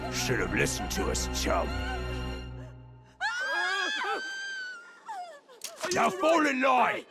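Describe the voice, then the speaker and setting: Sneering, high-pitched bandit thug. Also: male voice, huge pitch swings, moderate pace, loud music behind the voice, heavily acted. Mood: menacing. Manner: loud, sneering, taunting. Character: brutish, jeering young male bandit posing as militia. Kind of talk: fantasy video game acting.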